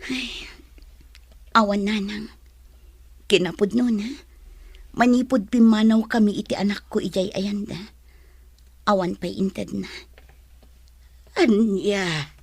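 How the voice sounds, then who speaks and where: A woman's voice: medium pitch, fairly even intonation, slow pace, creaky, long pauses, a little mellow, slightly rough, dry recording, full character voice. A woman playing a long-suffering, betrayed mother in an emotional, plaintive radio drama style. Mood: weary, determined. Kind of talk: Philippine radio drama acting.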